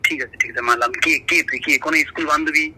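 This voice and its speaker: A man's voice: on the high side, fairly even intonation, fast. A man with a regional Bengali accent on a phone, brusque and impatient, firing repeated questions. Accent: Bengali regional dialect. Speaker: prank call recipient. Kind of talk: irritated phone conversation. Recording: noisy tinny phone line.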